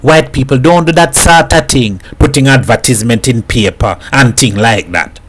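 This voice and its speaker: A man voicing a gruff, teasing man in a story reading. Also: mid-range voice, moderate pace, close to an everyday voice.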